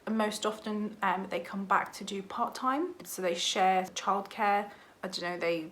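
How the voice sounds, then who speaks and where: A woman in her twenties, a junior doctor, chatting candidly to camera with a croaky voice from a cold, often saying um and like. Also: medium pitch, fairly bright tone, a little hiss or hum. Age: 20s. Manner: chatty and candid, many ums and likes. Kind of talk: casual vlog talk to camera.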